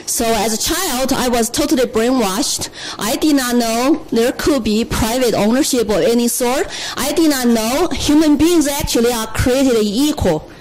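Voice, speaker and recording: High-voiced woman with a Chinese accent. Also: unhurried, few pauses, a little mellow, rough recording. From China. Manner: candid, conversational, laughs at herself. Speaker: speaker. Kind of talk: personal story at a public talk. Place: conference room. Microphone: standing at a lectern.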